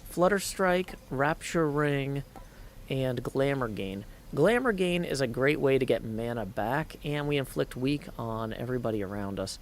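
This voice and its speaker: A man's voice: medium pitch, expressive, a little slow, a little hiss or hum. A man hosting a livestream with casual, rambling game commentary, addressing viewers and reading text aloud. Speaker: livestream host and gamer. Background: video game sound.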